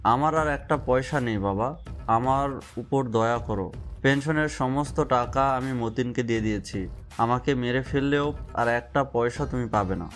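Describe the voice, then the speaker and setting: A man's voice: mid-range voice, normal speed, faint music behind, close to an everyday voice. A man playing an elderly pensioner in an audio drama, pleading yet stubbornly defiant. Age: older.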